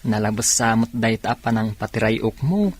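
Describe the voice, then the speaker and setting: An actor playing an earnest, shyly courting young male student in a radio drama, with a husky voice. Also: fairly low pitch, moderate pace. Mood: playful, tender. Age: young adult.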